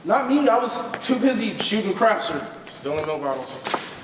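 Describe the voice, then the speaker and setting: A young man acting a rough-edged, insecure drifter in a high school stage play. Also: sing-song, moderate pace. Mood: uneasy. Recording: echoey hall, distant mic, faint noise.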